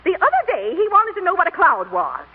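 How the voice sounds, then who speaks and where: A woman's voice: very high voice, wildly swooping pitch, a little fast, in a large hall, exaggerated voice. A woman playing a prim, stern, easily offended small-town schoolteacher in an old radio drama, speaking formally and clipped. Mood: disapproving. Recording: thin, phone-like old radio recording.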